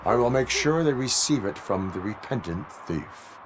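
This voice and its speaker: An actor playing a stern cyborg police officer who speaks in clipped, formal, emotionless lines. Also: male voice, medium pitch, lively intonation, normal speed, noisy background, natural voice. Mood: flat.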